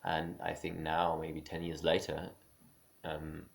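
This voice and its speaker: A man with a very low voice that keeps sliding into a gravelly fry. He muses aloud without a script, stumbling and restarting often and dropping small laughs between his points. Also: monotone, slow pace. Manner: musing, opinionated. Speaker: speaker on creative careers. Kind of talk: unscripted spoken monologue.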